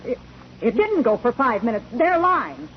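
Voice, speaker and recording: An actress playing a defensive, overwrought boarding house widow in a 1940s radio melodrama. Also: female voice, a little high, big pitch swings, normal speed. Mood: evasive. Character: cornered, defiant widowed female boarding house keeper with guilty secret. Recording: dull, hissy 1949 radio recording.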